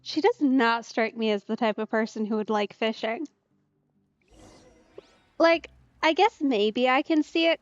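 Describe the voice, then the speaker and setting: A woman's voice: on the high side, no echo, muffled recording. A woman doing casual, chatty let's-play commentary as a streamer, musing aloud informally.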